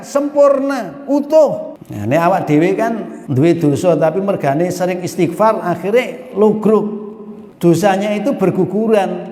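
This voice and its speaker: High-voiced Javanese-accented preacher in an echoey hall. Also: male voice. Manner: lively storytelling, mixing in Arabic and Javanese phrases, mimics questioners. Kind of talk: Islamic study sermon.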